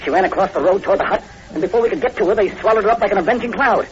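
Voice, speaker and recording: A man playing a concerned, skeptical doctor in an old radio drama, brisk and exclamatory. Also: high voice, lightly acted. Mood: uneasy. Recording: noisy lo-fi old radio recording.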